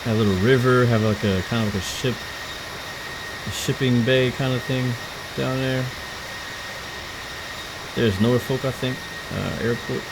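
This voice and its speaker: A woman from Houston with an extremely low voice chats loosely to her stream, stumbling and restarting her sentences as she goes. A steady whir of noise sits clearly under her voice. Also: unhurried, pauses often. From Houston, Texas. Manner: rambling and chatty, frequent restarts and stumbles. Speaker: flight sim livestreamer. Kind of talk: casual live-stream chat while flying.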